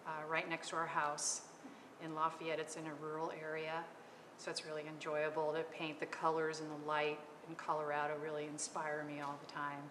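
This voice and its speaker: Middle-aged woman, low voice, lots of ums, panel talk in a boomy gallery. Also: completely flat delivery, normal speed. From California. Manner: hesitant, many ums. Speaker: panel artist. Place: art gallery. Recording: echoey gallery with long reverb and noise.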